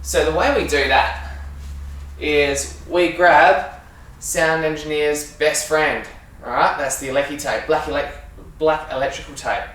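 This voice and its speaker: A man with an Australian accent giving a casual, halting hands-on demonstration as a sound engineer while he works. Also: on the high side, steady tone, fairly bright tone. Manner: informal, halting, talking while working with hands. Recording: echoey room, distant mic, faint noise.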